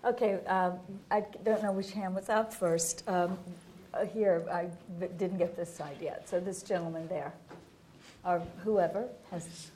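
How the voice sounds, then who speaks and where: A woman's voice: on the low side, steady tone, measured pace, warm tone, a little hiss or hum. A woman moderating a live panel and taking comments from the audience.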